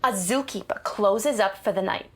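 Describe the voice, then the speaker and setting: A woman playing a pushy, doting, excitable mother in an audio drama, bossy and coaxing. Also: medium pitch, normal speed, natural voice. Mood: curious, prodding. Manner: bossy and coaxing, with animated exclamations. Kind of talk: naturalistic audio drama acting.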